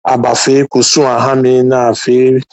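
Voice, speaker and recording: A man answering an interview question in a deliberate way. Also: medium pitch, completely flat delivery, darkish tone, no echo. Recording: dull, dry lo-fi sound.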